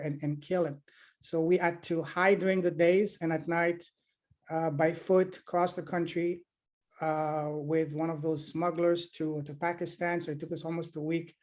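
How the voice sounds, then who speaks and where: Man, middle-aged, from afghanistan, halting speech, somewhat high, flat. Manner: halting, many restarts and fillers. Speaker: podcast host being interviewed. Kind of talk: casual interview answers.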